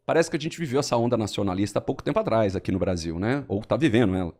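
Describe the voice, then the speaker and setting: A Brazilian man on a podcast, explaining history in a lively storytelling way and acting out quotes. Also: very fast. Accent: Brazilian Portuguese. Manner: lively storyteller, animated swings in pitch, acts out quotes.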